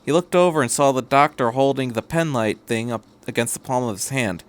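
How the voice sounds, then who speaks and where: A man's voice: medium pitch, fairly quick. A man reading a piece of fan fiction aloud as a scripted story narration.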